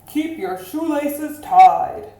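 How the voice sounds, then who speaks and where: A woman's voice: sits high, lively intonation, extremely slow, dark tone, voice pushed up, full character voice. A woman voicing an earnest male police officer in a storybook, giving firm, instructive announcements. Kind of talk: storybook character voice in read-aloud. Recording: roomy, echoing sound.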